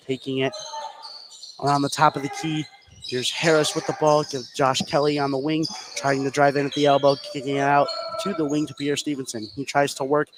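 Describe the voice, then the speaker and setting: A man doing live radio play-by-play of a basketball game, following the action in short clipped phrases. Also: fairly high pitch. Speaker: play-by-play sports announcer. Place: indoor high school gym. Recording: live sports broadcast with clear gym noise. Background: clear game noise.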